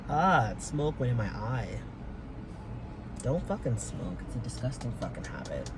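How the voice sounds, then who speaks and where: A man's voice: medium pitch, big pitch swings, moderate pace. A young man talking in a rambling, hesitant way, with many fillers and casual swearing, recording a personal vlog from inside his car. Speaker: vlogger. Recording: car noise in background.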